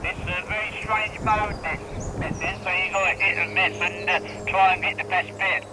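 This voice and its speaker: Very noisy radio transmission: man, high-pitched voice, informal operator chat. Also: fairly even intonation, moderate pace. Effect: two-way radio transmission. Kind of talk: casual two-way radio chat. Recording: very noisy two-way radio signal.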